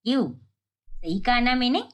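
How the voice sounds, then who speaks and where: A woman voicing a sharp-tongued, bossy old village matriarch in a cartoon family drama, taunting as she talks. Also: a little high, lively intonation, normal speed, close to an everyday voice. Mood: proud, firm.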